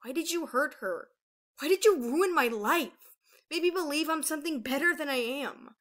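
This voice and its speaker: A woman's voice: mid-range voice, animated, brisk, pushed and bright. Woman playing a young female ice dragon, anguished, impassioned, dramatic delivery, fantasy storybook. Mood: anguished, defiant.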